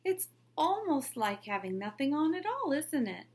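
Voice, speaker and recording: A woman's voice: sits high, sing-song, moderate pace, voice pushed up. A woman reading aloud, voicing a sly young woman tailor who coaxes and hurries the customer along. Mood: coaxing.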